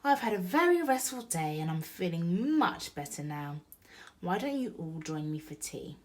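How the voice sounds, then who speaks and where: A woman voicing a gentle, weary mother in a children's storybook, polite and long-suffering. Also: on the low side, very animated, normal speed. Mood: gentle, tired.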